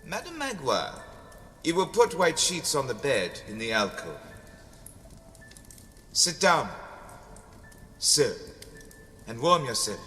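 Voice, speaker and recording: A man voicing a kindly, devout old priest who speaks in a gentle, measured, welcoming way. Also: mid-range voice, big pitch swings, in a large hall, faint music behind, pitched above the performer's own voice, heavily acted. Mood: hospitable.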